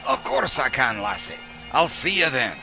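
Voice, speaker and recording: A man voicing a kindly older mail carrier with a Scottish accent and a gravelly, avuncular way of talking in a children's show. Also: medium pitch, wildly swooping pitch, moderate pace, sharp tone, soft background music, exaggerated voice. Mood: brisk, friendly. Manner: folksy, avuncular.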